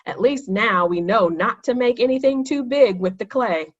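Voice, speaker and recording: A woman's voice: a little high, expressive, moderate pace, pitched above the performer's own voice. Woman as young storybook schoolboy, earnest, sincere, simple delivery, slightly lo-fi. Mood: good-natured.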